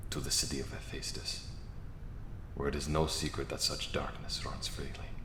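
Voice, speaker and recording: A man playing a devout, stern bishop in a fantasy audio drama, speaking in a formal, measured way. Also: extremely low pitch, echoey room, noisy background.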